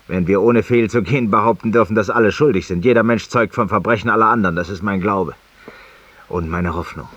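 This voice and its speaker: Guy acting a cynical guilt-ridden man confessing in an old radio play, husky voice, sounds far from the mic. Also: fairly low pitch, no room sound, lightly acted. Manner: intimate, rhetorical, addressing an unseen companion, sometimes dropping to a whisper. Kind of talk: 1950s German radio drama monologue. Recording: muffled, distant 1950s radio recording.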